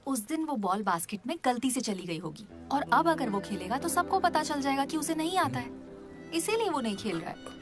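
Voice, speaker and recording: Young woman, high lively voice, matter of fact, explaining, comedy movie, bit of music behind. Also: a little fast.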